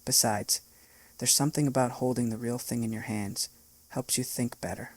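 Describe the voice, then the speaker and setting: Man reading as a down-to-earth veteran mechanic, husky, flat tone, dry sound. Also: medium pitch, moderate pace, no echo, lightly acted. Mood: matter-of-fact. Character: practical, easygoing human mechanic, seasoned man.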